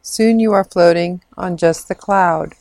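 A woman guides a meditation in a soothing, measured way. Her voice sits slightly low and moves very slowly, with long pauses. The recording is close and dry. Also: darkish tone, no echo. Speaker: meditation guide, clinical social worker.

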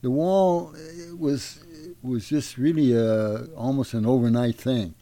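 An older man, a retired army veteran, recalling his past in a halting way with many pauses. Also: mid-range voice, fairly even intonation, mellow, soft background music. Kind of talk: casual recollection.

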